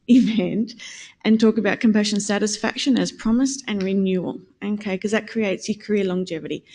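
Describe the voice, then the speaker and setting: A woman with a naturally quiet voice presents at a conference, talking to a room of nurses through a microphone she sometimes holds a bit too far away. Her delivery is informal and conversational, with small self-corrections and asides to the audience. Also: medium pitch. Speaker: conference speaker, nurse educator. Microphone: handheld or stand microphone, at times held away.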